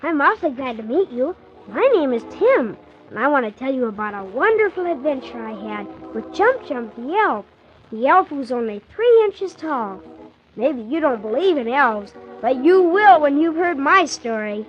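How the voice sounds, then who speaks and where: An eager little orphan boy, tells his adventure in a high, lively voice that rises and dips with earnest wonder. It is a children's radio serial from the 1940s, muffled with a faint hiss. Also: moderate pace. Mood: excited, friendly. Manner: earnest, wonder-filled, childlike storytelling with exclamations.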